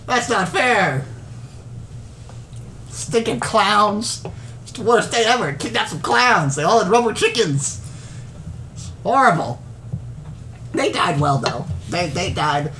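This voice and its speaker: A woman's voice: mid-range voice, lively intonation, slow pace, pauses often, a bit bright, a little hiss or hum. A woman playing a petulant ancient mad wizard. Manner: petulant, rambling, scornful.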